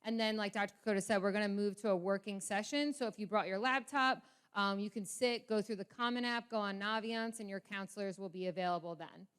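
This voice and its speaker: A woman's voice: medium pitch, a little fast, a bit bright, clear, resonant tone. A woman speaking as an assistant principal, giving an informal presentation to parents and students over a microphone. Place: school meeting with an audience.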